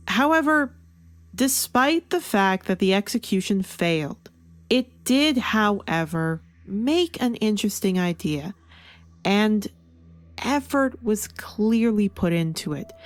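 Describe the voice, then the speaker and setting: A woman hosting an opinionated, scripted review, stressing and drawing out key words for emphasis. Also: fairly high pitch. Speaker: comic book reviewer and video host.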